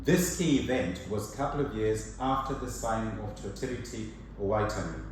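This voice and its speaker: Shona-speaking man, earnest sermon, lowish voice, very echoey church heard from far off. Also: a little hiss or hum. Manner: measured, earnest preaching with slow emphatic phrases. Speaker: preacher. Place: church hall.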